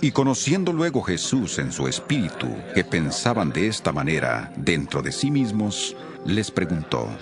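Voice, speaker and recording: A man's voice: sits low, sing-song, normal speed. A man narrating scripture from a script in the role of storyteller. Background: background music.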